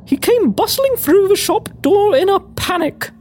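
A prim British lady adventurer of the Victorian age, acted by a woman, speaks in a very high, lilting voice. She is grandiloquent and self-important, as if reading her occult logbook for a radio play. There is faint noise behind her. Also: lively intonation, unhurried, darkish tone. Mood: measured, self-important. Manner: formal, grandiloquent, theatrical. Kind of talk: scripted first-person audio drama narration.

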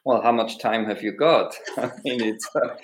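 A man's voice: mid-range voice, moderate pace, a little hiss or hum, rough recording. A middle-aged man with an Austrian accent, talking passionately and reflectively as a podcast guest, with frequent ums and uhs. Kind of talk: conversational interview.

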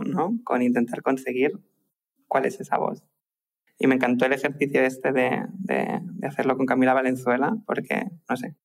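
A man co-hosting, speaking in a relaxed, enthusiastic way. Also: mid-range voice, gravelly. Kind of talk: conversation.

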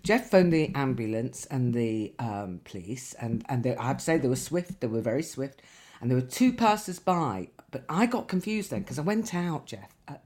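A woman's voice: rumbling low, sing-song, normal speed, a little mellow. A woman, a podcast co-host telling rambling, sweary anecdotes in casual chat, her nose slightly stuffy.

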